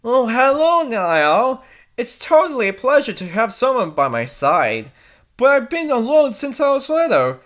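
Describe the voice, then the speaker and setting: A woman's voice: on the high side, very animated, measured pace, voice pushed up. A woman voicing an anxious, bossy fourteen-year-old princess in a theatrical, exclamatory manner for a fan fiction story. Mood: haughty.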